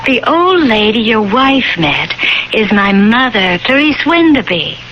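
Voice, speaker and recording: A smooth, evasive woman of thirty in an old radio drama. She coaxes with swooping intonation and faint condescension. The broadcast sound is dull with slight noise. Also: a little high, big pitch swings, a little slow. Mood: smooth, reassuring.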